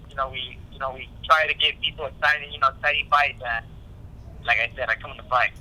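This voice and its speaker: A man speaking as a boxer in a casual phone interview, halting and repeating himself, with frequent "you know". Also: mid-range voice, steady tone, bright, forceful tone, a little hiss or hum. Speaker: professional boxer being interviewed. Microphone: telephone call. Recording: tinny phone line.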